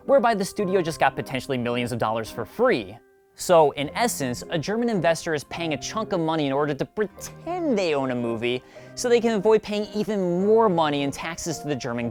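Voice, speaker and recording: A man hosting a video essay, reading scripted narration with wry, conversational asides. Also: fairly high pitch. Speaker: host of a video essay series.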